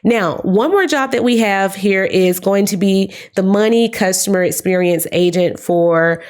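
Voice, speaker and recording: A young woman vlogger giving chatty job advice straight to her viewers, her voice hoarse and giving out from being sick. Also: medium pitch, a little slow, darkish tone. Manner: chatty, upbeat, addresses viewers as guys. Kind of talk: casual talk to camera.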